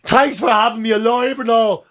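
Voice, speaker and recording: Man doing a high, self-berating Irish grumbler. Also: fairly even intonation, moderate pace, fairly bright tone. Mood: abject.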